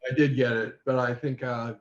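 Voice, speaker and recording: An older man speaking as an interview guest on a video call, halting and often searching for words. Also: mid-range voice, fairly even intonation. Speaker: interview guest, former quiz show contestant. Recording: muffled, echoey video call audio.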